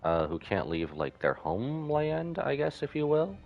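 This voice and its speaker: A man's voice: medium pitch, big pitch swings, normal speed, gravelly, highs cut off, faint music behind. A man doing casual live game commentary as a streamer.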